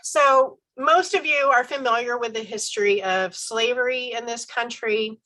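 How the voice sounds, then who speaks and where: A woman's voice: a little high, normal speed, sharp tone, poor audio. A woman professor giving an online lecture while stuffy and congested from a head cold, speaking conversationally with ums and self-corrections. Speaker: university professor giving a talk.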